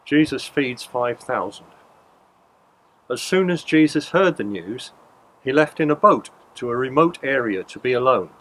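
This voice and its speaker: An older man reading a Gospel passage at a church service in a plain, steady way. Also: medium pitch, a little slow. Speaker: lay reader.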